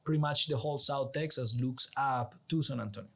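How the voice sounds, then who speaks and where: A man with a Colombian accent and Spanish as his first language speaks at a middling pitch. He explains things conversationally as a guest, earnest and persuasive, often checking in with the listener. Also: brisk, gravelly, a bit bright. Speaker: interview guest, executive director.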